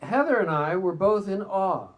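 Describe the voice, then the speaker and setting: A man's voice: high voice, expressive, moderate pace, slight background noise. A man reading excerpts from a memoir aloud at a live reading, sometimes stumbling and stepping out of the text with asides. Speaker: reader at a bookstore event.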